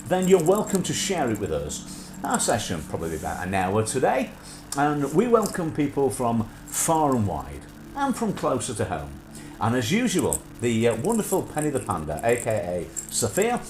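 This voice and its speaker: Nasal man, lively rambling host. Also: mid-range voice, echoey room. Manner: rambling with frequent filler hesitations. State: nasal from sinusitis. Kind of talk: casual livestream chat.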